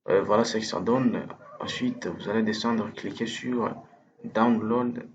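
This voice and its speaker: A man with French as his first language, giving a hesitant, step-by-step software tutorial over a screen recording, full of fillers and restarts. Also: lowish voice, steady tone, measured pace, muffled recording, background noise. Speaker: instructor.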